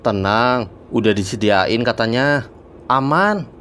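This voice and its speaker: A man's voice: medium pitch, lively intonation, takes it slowly, fairly bright tone, slight background noise. Casting: male actor as easygoing, slightly cocky young cartoon guy on holiday, Jakarta slang, matter-of-fact, mid-range voice. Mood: casual, reassuring. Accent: Jakarta Indonesian. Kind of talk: colloquial cartoon dialogue.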